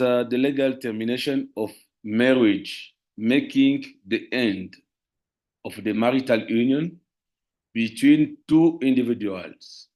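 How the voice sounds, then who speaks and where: A man from the DR Congo with a Congolese French accent, giving a formal presentation as a lawyer and teacher in careful, halting non-native English. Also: medium pitch, a little mellow. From Democratic Republic of the Congo. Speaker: lawyer and university teacher, guest speaker.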